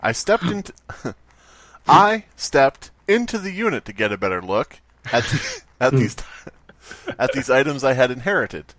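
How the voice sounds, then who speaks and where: A man's voice: medium pitch, sing-song, measured pace, a bit bright, no echo. A man co-hosting a podcast with casual, playful banter and frequent joking. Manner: joking, playful, breaks into bits of song. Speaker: podcast co-host.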